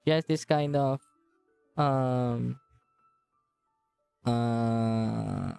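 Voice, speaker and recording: A woman game streamer with a low, smooth voice. She comments casually and slowly, hesitating as she thinks aloud. The sound is dry, with soft game music behind her. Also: no room sound. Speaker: game livestreamer. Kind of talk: casual live commentary over gameplay.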